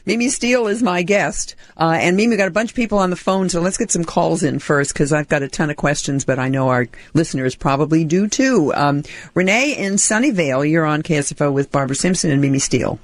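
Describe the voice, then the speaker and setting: Radio host: animated woman, brisk delivery, dry close studio mic. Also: no room sound. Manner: brisk, animated, with sudden pitch jumps. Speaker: radio talk show host. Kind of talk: talk radio hosting. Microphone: studio microphone.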